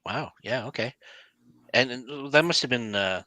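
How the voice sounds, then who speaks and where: Canadian guy hosting a chat, bright voice, dry close sound, easygoing. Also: mid-range voice, measured pace, no echo. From Calgary, Canada. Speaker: host. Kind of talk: casual interview chat.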